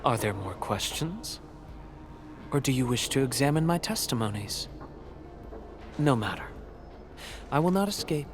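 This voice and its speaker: An actor playing a courtly, enigmatic video game villain who professes devotion, with formal, theatrical phrasing. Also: male voice, mid-range voice, expressive, unhurried, pauses often, noisy background, close to an everyday voice. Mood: courtly, cryptic. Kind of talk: video game story voice acting. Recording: dramatic music behind the voice. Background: game music.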